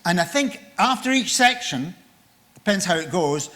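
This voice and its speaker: An older man with a loud voice, chairing a conference session and formally introducing a guest speaker after a joking opening. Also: sits high, lively intonation, normal speed. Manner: jokey, then reads out a guest's biography. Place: conference room.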